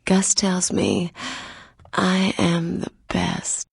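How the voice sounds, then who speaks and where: An actress voicing a vain, flirtatious tavern dancer in a video game, with a breathy, lingering, seductive delivery. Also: female voice, fairly low pitch, big pitch swings, extremely slow, dry recording, clean studio sound, heavily acted. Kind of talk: video game character acting.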